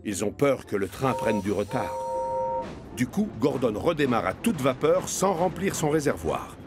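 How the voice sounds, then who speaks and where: Male narrator, children's storytelling, expressive, animated mid-pitched voice, music behind. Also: unhurried. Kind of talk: scripted storytelling for a children's cartoon.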